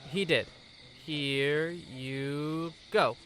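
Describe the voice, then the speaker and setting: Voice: medium pitch, extremely slow, a bit bright. A police officer played by an actor reporting to a superior in an audio drama. The delivery is dutiful and matter-of-fact. Faint noise is behind the voice.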